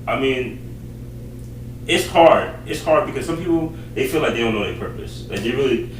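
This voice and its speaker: A man's voice: mid-range voice, animated. A man co-hosting a casual chat, speaking in loose runs full of "like". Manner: fast, loose talk with many "like"s. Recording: roomy sound from a distant mic with faint hum.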